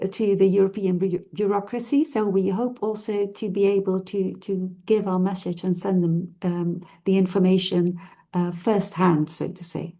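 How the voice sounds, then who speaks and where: A woman's voice: medium pitch, steady tone, moderate pace, warm tone. A middle-aged woman researcher being interviewed, speaking hesitantly with frequent fillers and restarts. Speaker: researcher guest. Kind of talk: casual interview talk.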